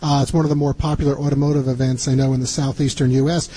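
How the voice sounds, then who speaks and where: A man's voice: mid-range voice, level tone, fast talker, warm tone, husky. A man hosting a travel radio show, talking in an upbeat, promotional way with frequent fillers. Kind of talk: radio interview and show wrap-up.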